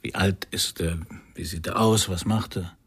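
An actor playing a weary, sceptical former policeman with a husky, gravelly voice in a radio crime drama. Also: low voice, steady tone, moderate pace, fairly bright tone. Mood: probing. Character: weary male former policeman turned investigator, probing, sceptical. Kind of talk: 1990s German radio crime drama acting.

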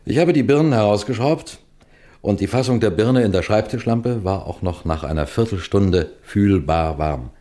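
An actor playing a seasoned, methodical detective chief in a 1960s German radio crime drama, lecturing with deliberate precision. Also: male voice, on the low side, animated, dark tone, lightly acted. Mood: measured explaining.

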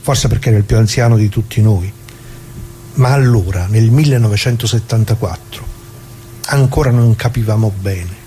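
A man's voice: lowish voice, moderate pace, dark tone, a little hiss or hum, lightly acted. An actor playing a plain-spoken left-wing worker who recalls the past in a colloquial theatrical monologue, putting questions to his listeners. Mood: reflective, troubled. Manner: conversational, rhetorical questions to listeners. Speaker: narrator of radio drama.

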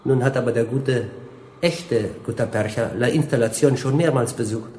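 An actor playing a smug young general-dictator in a German radio drama, gushing with oily familiarity and rambling on with jovial menace. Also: male voice, medium pitch, normal speed, a little mellow, slight background noise, close to an everyday voice. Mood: mock-friendly menace. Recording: roomy, echoing studio scene.

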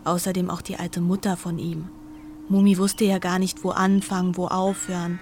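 A woman's voice: fairly low pitch, little pitch movement, normal speed, a little mellow, slight background noise, lightly acted. An actress playing a woman who recalls a crowded household in a German radio play. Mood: recollecting.

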